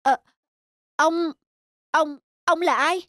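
A woman's voice: very high-pitched, steady tone, drawn-out words, a bit bright, no echo, clean studio sound, heavily acted. A woman voicing an earnest, humble young peasant man, polite and hesitant, in a cartoon fairy tale. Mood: eager, polite.

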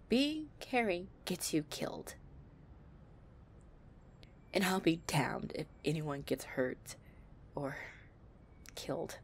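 A woman playing a possessive young gang-leader girlfriend, tough but tender, in an intimate, soft-spoken audio roleplay. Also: deep voice, slight background noise. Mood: tense, resolute.

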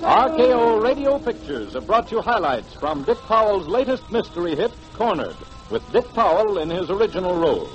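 Male American announcer, 1940s radio promo, formal, high-pitched and lively, muffled vintage recording. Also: normal speed, few pauses, faint music behind. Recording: dull 1940s radio broadcast.

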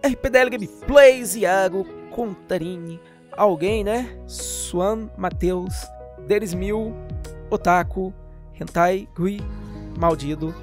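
A man's voice: high voice, takes it slowly. Chatty Brazilian man over music. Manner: chatty, jokey, informal. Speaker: gaming channel host. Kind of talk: casual, slangy game update voice-over.

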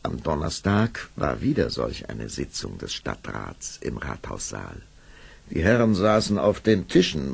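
A man's voice: extremely low pitch, vocal fry. A man narrating a fairy tale for a children's audio play in a scripted storytelling style. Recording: old audio play with music behind.

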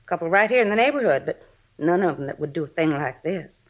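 An American actress playing a gossipy, plain-spoken middle-aged married neighbor in a 1950s radio drama. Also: female voice, on the low side, lively intonation, fast. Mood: gossipy, suspicious. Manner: folksy, colloquial, gossipy. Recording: dull vintage radio recording.

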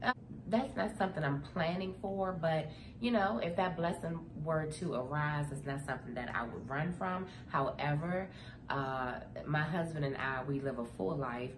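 Low-voiced, chatty, stuffy woman vlogger. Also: moderate pace. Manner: chatty, talks to viewers as "girl". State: stuffy nose. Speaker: vlogger answering viewer questions. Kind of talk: casual chat, storytelling. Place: indoor room, seated before framed print. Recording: echoey room with background noise.

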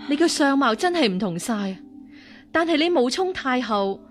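An actress in a radio drama playing a cold, vengeful nun and martial-arts master, measured and aloof. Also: female voice, high-pitched, animated, faint music behind. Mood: probing. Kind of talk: Cantonese radio drama acting.

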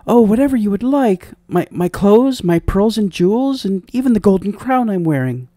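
Young fairy tale princess, acted by a man, high shift+, petulant, dramatic, dry sound. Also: lively intonation, normal speed, dark tone, no echo. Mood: eager. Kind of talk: storybook character voice.

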